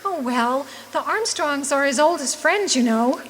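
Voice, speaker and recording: Woman playing a high, lilting, forlorn young sweetheart. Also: expressive, unhurried, a bit bright. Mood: hopeful, defensive. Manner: earnest, hesitant, making excuses. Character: forlorn, loyal small-town young woman awaiting her lover. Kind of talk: radio drama acting. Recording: reverberant hall, faint noise.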